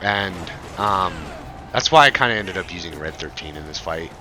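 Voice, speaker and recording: A man with a voice raspy from a cold, rambling in a frustrated gameplay commentary rant with many fillers. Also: sits low, lively intonation, normal speed, bright, forceful tone, slight background noise. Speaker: gaming video creator.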